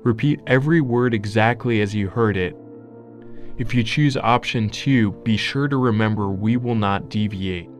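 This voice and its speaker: A menacing sniper played by a man dictates terms in a low voice. The delivery is deliberate and commanding, as a dramatic reading over faint music. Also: natural voice. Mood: cold, threatening. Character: menacing male sniper reading out his demand letter. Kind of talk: dramatic reading of a transcribed message.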